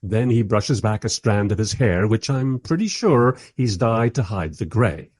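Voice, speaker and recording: An actor playing a long-suffering documentary director in a radio play, narrating in a dry, sardonic, conversational and diplomatic way. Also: male voice, fairly low pitch, lively intonation, a little slow, darkish tone, no room sound. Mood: wry. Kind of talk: first-person radio play narration and dialogue.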